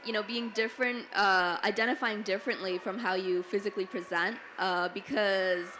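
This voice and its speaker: A woman's voice: medium pitch, steady tone, normal speed, sharp tone, a little hiss or hum, poor audio. A young adult woman from Toronto, speaking casually on a panel with lots of "like" and "you know". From Toronto, Canada. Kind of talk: panel discussion answer. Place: book fair panel stage.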